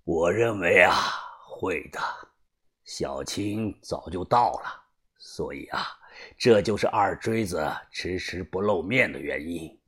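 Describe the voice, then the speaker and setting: Man acting low, hushed, shrewd old gang boss. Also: expressive, breathy edge, full character voice. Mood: grave, certain. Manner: grave, deliberate, hushed. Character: calm, cold, shrewd old tomb-raiding gang boss.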